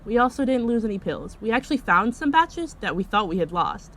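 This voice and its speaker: A woman's voice: a little high, very animated, a little fast, a bit bright. Woman as an efficient gang department head, positive, businesslike, audio drama, background noise. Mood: upbeat. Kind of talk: scripted audio roleplay acting.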